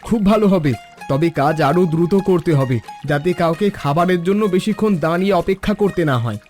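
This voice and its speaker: A man's voice: high voice, lively intonation, quick pace, darkish tone, soft background music. A man voicing a hardworking, brotherly village helper in a cartoon story, reassuring and earnest.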